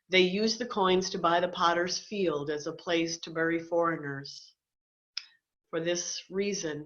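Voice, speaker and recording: A middle-aged woman with a fairly low voice reads scripture dramatically, as a pastor would. The sound is slightly muffled and lo-fi, coming over a video call. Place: indoor room, on a video call. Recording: dull lo-fi video call audio.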